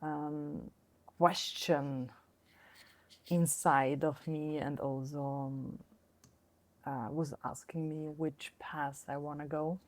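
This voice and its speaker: A middle-aged woman from Austria with a low, deep-set voice speaks at an unhurried pace. She is a guest in a relaxed conversation, hesitating often with fillers and false starts. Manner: hesitant, many fillers and restarts. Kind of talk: casual interview conversation.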